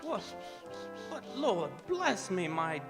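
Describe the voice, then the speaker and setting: An actor playing a polite, astonished gentleman in a radio drama, stammering and deferential. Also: high voice, big pitch swings, takes it slowly, exaggerated voice. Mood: astonished, grateful. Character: flustered, astonished polite gentleman charity collector. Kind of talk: theatrical radio drama acting. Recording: reverberant stage hall, music behind.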